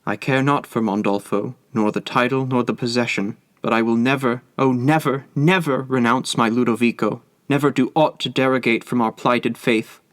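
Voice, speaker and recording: A man's voice: mid-range voice, fairly even intonation, normal speed, hardly any pauses, dry recording, natural voice. A man reading aloud and voicing a desperate young mother in an impassioned, pleading way. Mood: defiant.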